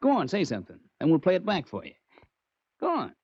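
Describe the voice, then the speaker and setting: An actor playing a smug, chuckling crook with slangy, drawling talk in a 1940s radio crime drama. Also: male voice, high-pitched, wildly swooping pitch, fast talker, no echo, heavily acted. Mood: smug, taunting. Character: smug chuckling small-time male crook with a gun. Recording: dull restored old radio recording.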